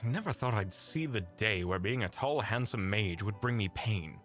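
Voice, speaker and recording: Man as a vain, animated mage. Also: lowish voice, normal speed, soft background music. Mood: wry, self-pitying. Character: tall, vain, dry-witted male mage adventurer. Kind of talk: fantasy audio roleplay acting.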